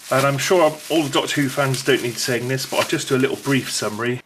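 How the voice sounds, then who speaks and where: A man's voice: on the high side, noisy background. A man presenting an unboxing video in a casual, unscripted way, chatty and rambling as he thinks aloud.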